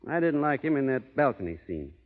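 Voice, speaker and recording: An actor playing a plainspoken, stubborn, honorable cowboy with a drawling American Western accent in an old radio western. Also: male voice, medium pitch, sing-song, a little fast, phone-quality sound, natural voice. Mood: plainspoken, wry. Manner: drawling folksy cowboy talk, understated. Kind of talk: 1930s-40s radio western acting. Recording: boxy old radio recording, hall reverb.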